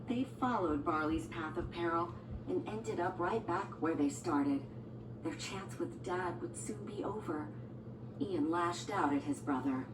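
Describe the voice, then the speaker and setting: A woman's voice: fairly low pitch, measured pace, noisy background. A woman narrating a scripted children's storybook as a read-along storyteller. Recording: echoey, distant, music bed. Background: music and sound effects.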